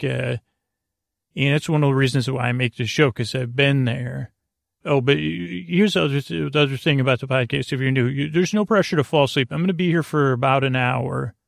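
A man with a gravelly voice hosting a sleep podcast, talking in a halting, meandering way, stammering and drifting into tangents. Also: medium pitch, no echo, studio quality. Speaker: podcast host. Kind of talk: rambling bedtime monologue for a sleep podcast.

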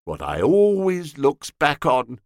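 A man voicing a fuddled, low-class young rake with boisterous, uneducated old slang in an audiobook. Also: wildly swooping pitch, slow, heavily acted. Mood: maudlin protest.

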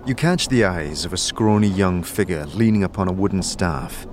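A man's voice: low voice, animated, normal speed, noisy background. A man giving dramatic scripted narration for a fantasy audio drama, as narrator and game master. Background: music.

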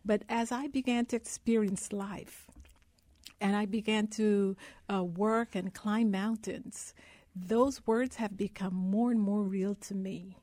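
Woman from Guyana, interview guest, mellow tone, dry close sound, earnest storytelling. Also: medium pitch, fairly even intonation, takes it slowly, dry recording.

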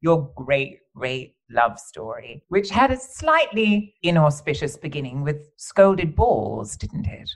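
A man's voice: fairly high pitch, very animated, slow pace, few pauses. A man hosting a podcast interview, talking in a flowery, theatrical way, calling guests darling and laughing readily. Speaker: podcast host.

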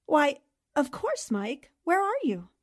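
An actress playing a spirited, indignant newspaper columnist in a theatrical 1940s radio-drama style. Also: female voice, high-pitched, takes it slowly, exaggerated voice. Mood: anxious. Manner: expressive, theatrical. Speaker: actor in an audio drama.

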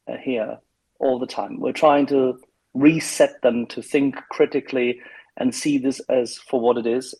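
A man's voice: medium pitch, dark tone, poor audio. A man hosting a casual panel discussion, speaking earnestly with some hesitant fillers.

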